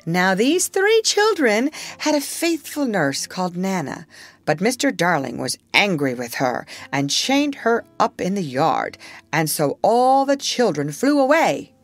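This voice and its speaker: A woman voicing a young girl who tells a bedtime story to a crowd of boys in a gentle, motherly tone. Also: medium pitch, very animated, measured pace, dry recording, pitched above the performer's own voice. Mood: storytelling.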